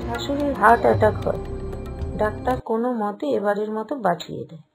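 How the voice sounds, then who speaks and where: A woman's voice: mid-range voice, expressive, a little fast, gravelly, slightly rough, soft background music. A woman narrating a scripted moral tale for a cartoon.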